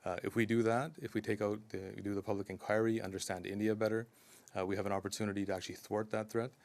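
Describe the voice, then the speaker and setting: A man speaking as a community advocate at a news conference, earnest and steady, with frequent ums and uhs. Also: fairly low pitch, fast talker, creaky. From Canada. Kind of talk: press conference statement.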